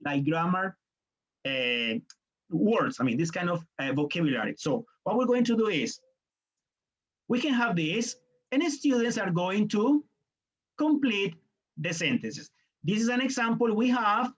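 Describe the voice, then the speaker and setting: A man's voice: high-pitched, animated, unhurried, long pauses, lo-fi sound. Colombian man leading a teaching workshop. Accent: Colombian Spanish. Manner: explains step by step, briefly voicing students and parents. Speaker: teacher trainer. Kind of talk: online workshop lecture.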